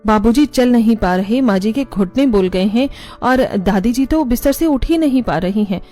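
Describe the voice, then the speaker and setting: A woman's voice: a little high, lively intonation, moderate pace, warm tone, soft background music, natural voice. A woman voicing a fed-up, scheming young daughter-in-law in a cartoon, complaining and exasperated.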